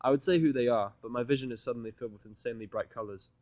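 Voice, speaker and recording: A man reading first-person fan fiction as an audiodrama in a sardonic, grumbling, deadpan manner. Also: medium pitch, racing, dry recording. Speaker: audiodrama reader.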